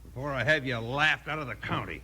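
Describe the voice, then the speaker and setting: A man's voice: medium pitch, brisk, natural voice. An actor playing a blustering, stubborn American stagecoach boss, a combative man in a 1950s radio western. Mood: exasperated. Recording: noisy vintage 1950s radio recording.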